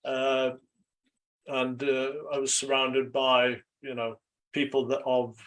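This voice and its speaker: A middle-aged man from Sweden speaks reflectively as an interview guest, pausing often and checking in with "right?". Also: medium pitch, completely flat delivery, fairly bright tone, rough recording. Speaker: podcast guest, life coach.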